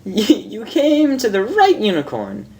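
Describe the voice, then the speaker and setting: A woman voicing an elegant young female unicorn dressmaker in a storybook reading, prim and theatrical with affected, drawn-out exclamations. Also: medium pitch, huge pitch swings, a little mellow, pitched above the performer's own voice. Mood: boastful, flustered.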